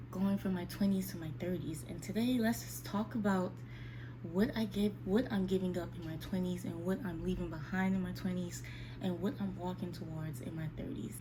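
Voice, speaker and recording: A woman's voice: fairly low pitch, background noise. A woman of about thirty chatting casually and candidly to her viewers in a confiding, conversational way, addressing them directly. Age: 29, almost 30. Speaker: vlogger speaking to her viewers. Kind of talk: casual, candid sit-down chat.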